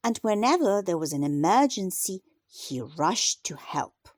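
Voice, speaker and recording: A woman reading a children's story aloud in a deliberate, expressive storytelling style. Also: lowish voice, no room sound. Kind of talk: scripted children's story narration.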